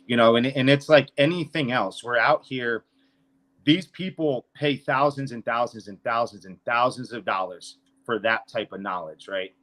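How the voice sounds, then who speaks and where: A man's voice: medium pitch, moderate pace, fairly bright tone. Emphatic, swearing man in his thirties. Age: 30s. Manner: emphatic, profane, boastful. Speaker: financial consultant and podcast speaker. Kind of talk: casual unscripted talk.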